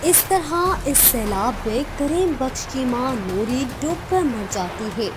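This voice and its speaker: A woman's voice: high-pitched, expressive, slow pace, noisy background. A woman reading scripted narration for a cartoon moral story.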